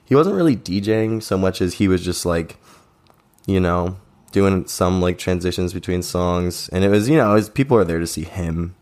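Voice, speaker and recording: A man's voice: low-pitched, animated, normal speed, darkish tone. A young man co-hosting a podcast, telling festival stories in a laid-back, joking way, his voice a bit strained. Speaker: podcast co-host. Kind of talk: casual chat.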